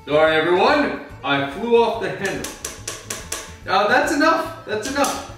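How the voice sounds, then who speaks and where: A man's voice: sits high, big pitch swings, unhurried, fairly bright tone, heavily acted. A man playing Batman, flustered cooking host. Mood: explaining. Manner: rambling, flustered, prone to angry outbursts. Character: Batman, gruff brooding male superhero cooking. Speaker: cosplay cooking show host in character. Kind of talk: comedic cooking demonstration. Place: home kitchen. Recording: very echoey room, mic far off, faint music.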